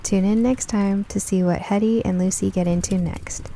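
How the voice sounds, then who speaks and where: Mellow woman narrating, slightly creaky voice. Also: medium pitch, fairly even intonation, moderate pace, slight background noise, poor audio. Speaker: narrator, writer and producer of the show. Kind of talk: first-person scripted story narration, nostalgic radio drama.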